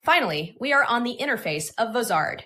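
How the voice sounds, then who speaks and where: A woman presenting a software tutorial in scripted, instructional, step-by-step narration. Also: a little high, very animated, a little fast.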